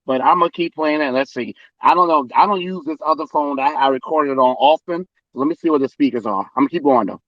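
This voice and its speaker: Loud, sarcastic man hosting. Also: a little high, a little fast, few pauses, dry recording, lo-fi sound. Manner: sarcastic, talks directly to listeners. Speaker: political podcast host. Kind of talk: casual livestream commentary.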